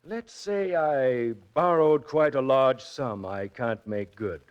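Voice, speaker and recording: An actor playing a smug, murderous, scheming uncle in 1940s radio drama, smooth and menacing. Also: male voice, medium pitch, takes it slowly, close to an everyday voice. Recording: dull 1940s radio recording.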